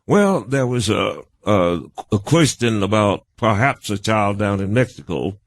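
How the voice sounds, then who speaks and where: An older American man answering as an interview guest, halting and wordy, with folksy turns of phrase. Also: lowish voice, animated. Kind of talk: conversational, reminiscing interview answers.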